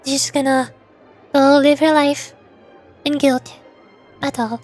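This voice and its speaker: A woman's voice: high voice, little pitch movement, takes it slowly, soft background music. A woman reading the dialogue of a game story aloud.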